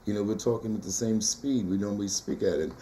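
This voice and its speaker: A man with a low, mellow tenor-baritone voice speaks with animated, rhythmic emphasis, often circling back on his phrases. The talk is loose and conversational, with a faint hiss of noise behind him. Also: fast talker. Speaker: poet and teacher being interviewed. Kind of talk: casual conversational interview.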